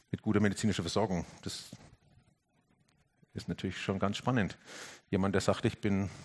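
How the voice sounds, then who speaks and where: A man preaches a reflective sermon in a somewhat low voice. He talks earnestly, climbing to emphatic, lifted phrases, then dropping almost to a hush on the heavier points. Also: husky. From Franconia, Germany. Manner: earnest, swings between emphatic lifts and hushed, quiet passages.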